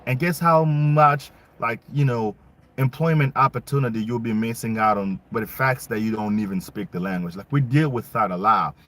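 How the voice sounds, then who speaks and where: A man's voice: mid-range voice, moderate pace, fairly bright tone. Young man from congo, casual rant, emphatic, rhetorical questions, english speaker. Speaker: vlogger. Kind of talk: casual opinion monologue.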